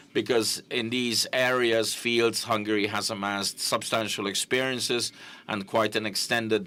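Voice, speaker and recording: A man giving simultaneous interpretation at a press conference, halting, with frequent fillers and self-corrections. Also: on the low side, fairly bright tone.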